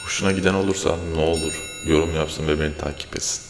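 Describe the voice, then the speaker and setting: A man speaks as a casual amateur ASMR creator. His voice is very low and gravelly with fry, and it stays very flat. He talks haltingly, with hesitant pauses, over faint background noise. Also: music in the background. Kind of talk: ASMR, casual talk to viewers.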